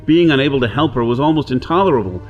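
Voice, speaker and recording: A man's voice: mid-range voice, lively intonation, fast, lo-fi sound, lightly acted. An actor playing a desperate outlaw hero on Mars, telling his ordeal in intense, theatrical first-person narration. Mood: brooding, anguished. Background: dramatic music and soundscape.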